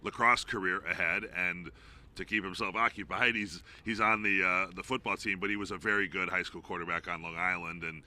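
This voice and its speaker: A man's voice: sits low, fairly even intonation, fairly quick, sharp tone. A man who works as a play-by-play announcer, giving informal, discursive sports analysis as an interview guest.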